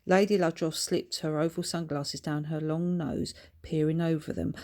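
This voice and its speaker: A woman reading her own children's book aloud as narrator, in a measured, descriptive storytelling manner. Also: low-pitched, flat delivery, warm tone.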